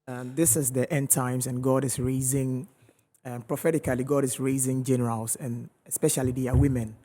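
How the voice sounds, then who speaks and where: A man's voice: medium pitch, steady tone, fairly quick, warm tone. A man, a gospel singer, answering interview questions hesitantly with many fillers. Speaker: gospel musician guest. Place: TV studio.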